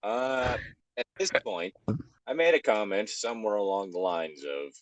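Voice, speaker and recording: A man's voice: fairly low pitch, animated, normal speed, dry recording. A man co-hosting a podcast, chatting and reading aloud casually with loose, sweary banter. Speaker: podcast co-host.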